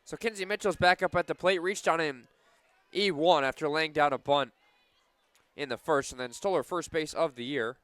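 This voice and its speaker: A man with a fairly high, very clean voice calls softball on the radio. He keeps a measured play-by-play flow and lifts it for big plays. The sound is close and dry. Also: clean tone, no echo. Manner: excited on big plays, measured between them. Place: live at a softball game.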